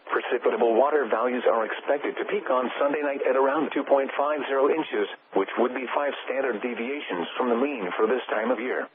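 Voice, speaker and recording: A man reading an automated weather radio bulletin in a flat, even manner. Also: mid-range voice, fairly quick, few pauses, slight background noise. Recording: tinny radio broadcast.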